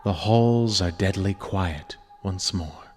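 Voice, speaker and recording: A man narrates a horror tale in a fairly low voice, drawing it out very slowly. His delivery is theatrical and menacing, slipping toward a whisper at times. The voice rings in long reverb. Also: a little mellow. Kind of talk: dramatic horror reading, second-person narration. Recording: long reverb over music. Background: music.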